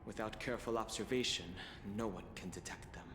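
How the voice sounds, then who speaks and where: An actor playing a composed, knowing man in a video game, explaining things in a grave, deliberate way. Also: on the low side, close to an everyday voice. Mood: grave, explanatory. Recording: echoey hall, clear background ambience noise.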